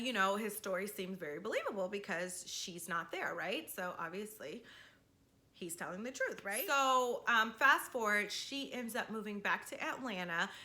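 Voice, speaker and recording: A woman with a bright, forceful voice tells a story like she is gossiping with a friend. Her delivery is animated and sassy, full of slang and swearing. She often tags her sentences with little check-ins to the listener. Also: medium pitch. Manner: checks in with "right?" and "you know". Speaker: storytime video host.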